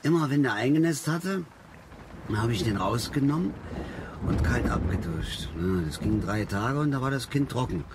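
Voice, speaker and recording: An actor playing a gruff, stubborn middle-aged father and angler in a radio drama, talking colloquially and haltingly. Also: medium pitch, lively intonation, lightly acted. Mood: defensive. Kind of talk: German radio drama acting. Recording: noisy outdoor ambience behind the voice.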